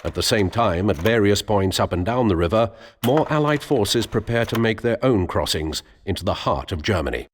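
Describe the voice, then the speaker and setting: A man narrating a war history briefing in a solemn, measured, scripted documentary style. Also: lowish voice, animated, slight background noise, lightly acted. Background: music.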